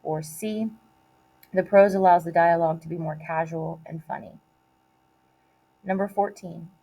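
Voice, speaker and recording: A woman reading aloud like a teacher giving a test, in a measured, deliberate way with short, separated phrases. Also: on the low side, steady tone, vocal fry, dark tone, poor audio. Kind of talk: read-aloud of multiple-choice questions and quoted play lines.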